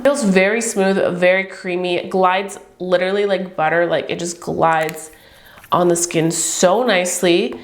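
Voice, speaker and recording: A young woman reviewing beauty products to camera in a chatty, upbeat way, her voice stuffy and congested from a cold. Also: medium pitch. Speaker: beauty vlogger.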